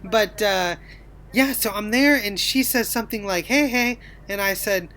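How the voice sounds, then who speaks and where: A woman's voice: mid-range voice, a little slow, a bit bright. A woman co-hosting a podcast, chatting casually and winding up long, jokey anecdotes. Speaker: podcast co-host. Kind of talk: casual chat.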